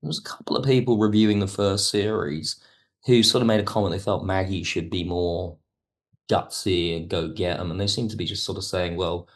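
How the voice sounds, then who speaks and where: Low-pitched man, reflective interview guest. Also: steady tone, brisk. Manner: discursive, circles back and rephrases. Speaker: guest, audio drama writer. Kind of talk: podcast interview, reflective conversation.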